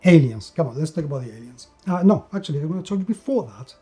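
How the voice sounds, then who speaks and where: A man with a fairly high-pitched but dark, relaxed voice reviews a tabletop game. He speaks off the cuff with enthusiasm, stressing his points by repeating words. Faint music plays behind him. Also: fast. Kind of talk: enthusiastic unscripted review commentary.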